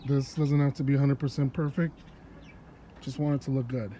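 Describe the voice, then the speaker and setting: A man's voice: mid-range voice, brisk. Stuffy-nosed man, casual car vlogger talking to his phone outside, easygoing, faint outdoor noise. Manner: relaxed, chatty, laughs often. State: congested, stuffy nose. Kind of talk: casual how-to vlog narration. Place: outdoors in a yard. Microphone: phone held while working.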